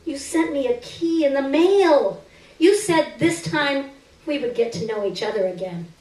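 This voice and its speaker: A woman giving an expressive, theatrical reading of a first-person short story on a stage. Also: high voice, measured pace. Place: live stage reading indoors. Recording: roomy stage, distant microphone.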